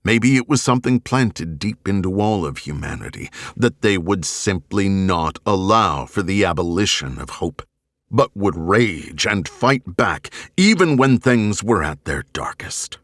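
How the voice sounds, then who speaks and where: A man narrating an audiobook novel in a grave, measured, dramatic way with deliberate pauses. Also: low voice, animated, a bit bright, no room sound, clean studio sound. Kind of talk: dramatic scripted narration of a science fiction novel.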